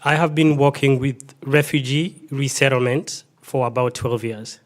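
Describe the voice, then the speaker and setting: A man's voice: medium pitch, steady tone, a little slow. A middle-aged man from Rwanda, a native Kinyarwanda speaker, giving a short speech to introduce himself. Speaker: refugee resettlement worker introducing himself.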